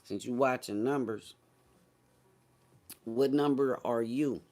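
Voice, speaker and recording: A man's voice: medium pitch, takes it slowly, a little mellow. A man hosting a casual live stream, chatting with viewers in a slangy, boastful, teasing way.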